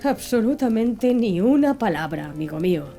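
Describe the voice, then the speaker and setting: A woman voicing a blunt, plain-spoken male Canadian harpooner in an audiobook, with a gruff, mocking way of talking. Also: on the high side, very animated, normal speed, warm tone, soft background music. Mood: wry.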